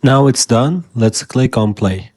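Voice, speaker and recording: A man whose first language is Arabic, hosting a software tutorial and calmly explaining each step as he clicks through it. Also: medium pitch, takes it slowly, dry recording, studio quality. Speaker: tutorial host. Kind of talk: screen-recorded software tutorial.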